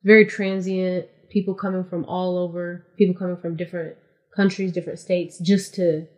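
A woman's voice: fairly low pitch, flat delivery, moderate pace. A woman from California, a podcast guest in casual interview chat, explaining things matter-of-factly and step by step with frequent "like".

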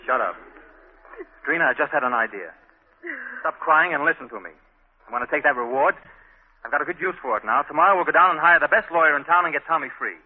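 A man's voice: fairly high pitch, animated, quick pace, pauses often, breathy edge. An actor playing an earnest, resolute young neighborhood man in a 1940s radio drama, firm and determined, with an American accent. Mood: determined, reassuring. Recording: tinny, band-limited 1940s radio recording.

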